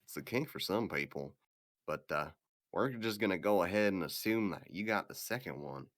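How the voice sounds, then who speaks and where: A man's voice: sits low, lively intonation, moderate pace. A man voicing a chatty, smug parasite creature in a video game dub, with folksy, breezy patter. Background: loud music.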